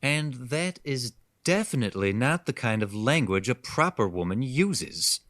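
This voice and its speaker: A man's voice: mid-range voice, big pitch swings, measured pace, a bit bright, no room sound, clean studio sound. A man playing a menacing, pompous kidnapper in a horror radio drama, preaching with condescending, genteel menace. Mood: patronising. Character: menacing male kidnapper, pompous, patronising, fixated on obedient wives.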